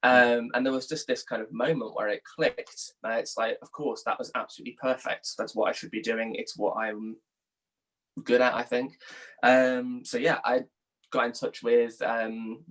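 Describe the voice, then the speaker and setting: A young man from England with a mid-pitched voice speaks as an interviewed journalist. He answers informally and thoughtfully, feeling his way through each point with plenty of hedging. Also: steady tone, normal speed, a bit bright, low-quality recording. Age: young adult.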